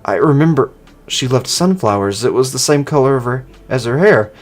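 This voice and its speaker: A man's voice: medium pitch, animated, moderate pace, lightly acted. A man voicing a wistful, hesitant former assassin woman with lost memories, in online horror fiction. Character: wistful, amnesiac former female assassin, longing for lost friend.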